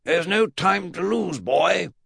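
A man's voice: sits high, lively intonation, takes it slowly, creaky, fairly bright tone, breathy edge, no room sound, clean studio sound, pitched above the performer's own voice, heavily acted. A man voicing an eccentric, blustering old great-uncle in a comic children's audiobook, pompous and emphatic. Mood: urgent, blustering. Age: older.

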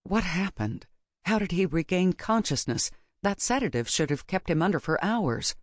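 A woman's voice: on the low side, big pitch swings, normal speed, no room sound, studio quality. A woman voicing a sensitive, grieving female witch professor in a fantasy audiobook. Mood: troubled disbelief. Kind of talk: audiobook character dialogue.

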